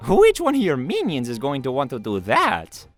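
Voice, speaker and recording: Cartoon plumber brother, high and very animated, playful quips over soft music. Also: male voice, normal speed. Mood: matter-of-fact. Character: cheerful cartoon Italian plumber brother, lighthearted and quippy. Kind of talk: cartoon fan-parody voice acting.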